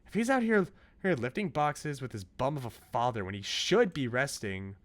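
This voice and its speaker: A man's voice: medium pitch, very animated, moderate pace, lightly acted. A man voicing a bossy, brash young female workout buddy from a visual novel, scolding and emphatic. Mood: exasperated. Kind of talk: visual novel character read-aloud. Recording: game music behind the voice.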